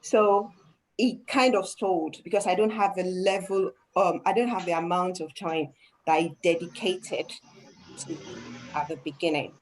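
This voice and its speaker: A woman's voice: fairly low pitch, steady tone, moderate pace, slight background noise, poor audio. A woman with a Nigerian accent, talking through her small business in a casual group discussion, often restarting her sentences. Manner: thoughtful, restarts often, laughs easily.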